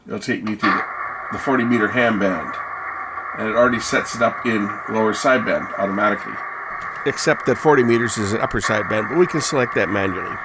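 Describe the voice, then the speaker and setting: A man's voice: fairly low pitch, fast talker. A man giving a casual hands-on gadget demonstration, musing aloud as he tries things out. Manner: musing aloud while trying controls. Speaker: product reviewer. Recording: noisy, radio static and ambience. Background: radio noise.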